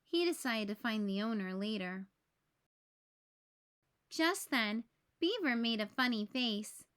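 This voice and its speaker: Slow, high-voiced woman reading a storybook. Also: animated, fairly bright tone, smooth. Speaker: teacher reading aloud. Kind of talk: read-aloud storybook narration for young children.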